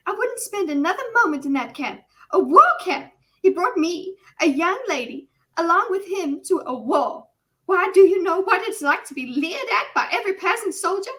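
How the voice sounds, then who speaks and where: A woman's voice: extremely high pitch, animated, normal speed, fairly bright tone, voice pushed up. A woman voicing a dramatic young noblewoman with an exaggerated American Southern accent, gushing and sweetly complaining. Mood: gushing complaint. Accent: hyped-up American Southern.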